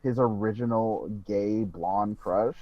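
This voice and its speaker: A man's voice: on the low side, takes it slowly, crowd noise. A man co-hosting a podcast, joking and teasing in casual banter. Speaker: podcast co-host. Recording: dull lo-fi mic, noisy.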